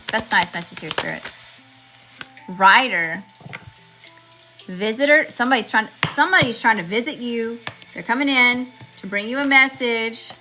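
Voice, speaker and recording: A woman reading tarot cards for her viewers in a chatty, slangy way, calling them y'all and listing card meanings one word at a time, with her voice going hoarse. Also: a little high, sharp tone, low-quality recording. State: losing her voice. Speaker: tarot reader channeling messages. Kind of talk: casual spiritual reading to viewers.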